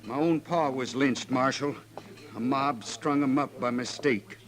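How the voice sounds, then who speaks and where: A man's voice: medium pitch, unhurried, lightly acted. An actor playing a grim, vengeful cattleman with rustic, drawling speech in a 1950s radio western.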